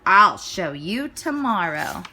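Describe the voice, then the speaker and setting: A woman's voice: medium pitch, very animated, slow, sharp tone, a little hiss or hum, voice pushed down, full character voice. A woman voicing a polite, earnest young boy turtle in a children's storybook. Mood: polite, sure. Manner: polite, pleading.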